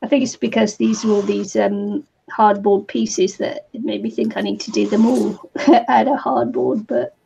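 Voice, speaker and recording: A woman with a New Zealand accent and a scratchy voice today, hosting a relaxed craft chat. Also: mid-range voice, gravelly, highs cut off. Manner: slow, relaxed, laughs between phrases. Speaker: craft channel host.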